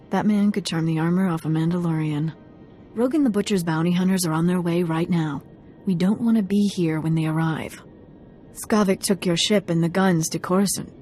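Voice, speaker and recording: A woman's voice: lowish voice, mellow, natural voice. An actress playing a scheming, persuasive female informant in a video game, calculating as she bargains.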